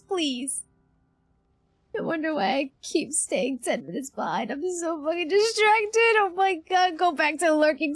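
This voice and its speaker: A woman streamer giving casual, expressive, exclamatory live reactions to a video game. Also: high voice. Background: game music.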